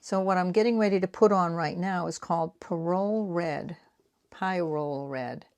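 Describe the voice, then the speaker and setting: A woman in her sixties with a light Boston accent, chatting casually and rambling as she makes art prints, dropping into soft whispered asides while she works. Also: lowish voice, normal speed. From New England, United States. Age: 60s. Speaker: artist and craft vlogger.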